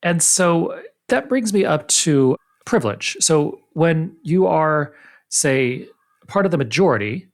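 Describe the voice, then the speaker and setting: A man hosting an interview podcast, talking in a lively way, jumping up in pitch to stress points. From United States. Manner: animated, pitch jumps up to stress points, quick asides. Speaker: podcast host. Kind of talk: casual interview.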